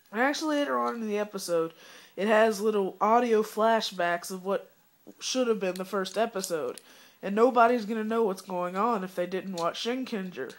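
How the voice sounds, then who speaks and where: Sick young fan reviewer, coughing. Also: medium pitch. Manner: rambling, disappointed, many fillers. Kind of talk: casual spoken review.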